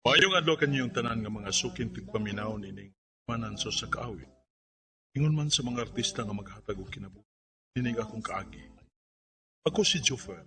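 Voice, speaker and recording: An earnest young Bisaya suitor. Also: male voice, fairly low pitch, animated, fairly quick. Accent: Philippine Bisaya. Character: earnest young man, son courting a sweetheart. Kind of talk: radio drama acting and first-person narration.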